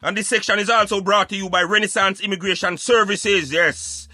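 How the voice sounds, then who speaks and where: A Jamaican man hosting a casual talk show in patois, animated and emphatic as he addresses his listeners. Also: high voice, brisk, no echo, clean studio sound. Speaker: radio show host.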